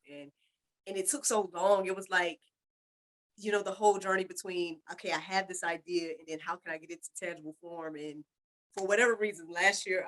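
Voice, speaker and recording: Woman podcast host, kinda low voice, rambly and informal, just chatting. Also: sharp tone, poor audio. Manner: informal, rambling, repeats "you know". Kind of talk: casual conversational interview.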